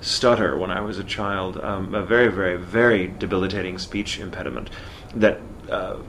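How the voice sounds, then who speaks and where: A man from Texas with a mid-Atlantic theater accent, speaking as a voice actor guest in a casual interview in an articulate, measured way. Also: deep voice, steady tone, a bit bright, slight background noise.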